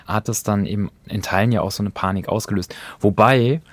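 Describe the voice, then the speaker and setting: A man's voice: on the low side, a little fast, dry recording. A man co-hosting a podcast, talking casually in a discussion at a close microphone. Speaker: podcast co-host.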